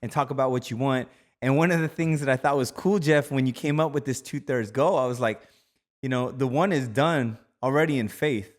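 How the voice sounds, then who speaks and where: A man's voice: mid-range voice, brisk. A man co-hosting a casual podcast, talking in a loose, rambling way with many fillers. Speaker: podcast co-host.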